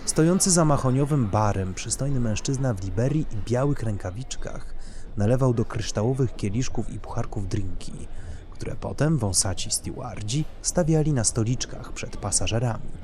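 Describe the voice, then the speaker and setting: A man's voice: medium pitch, lively intonation, normal speed. A man reading scripted literary narration for an audio drama. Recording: clear background noise of urban ambience.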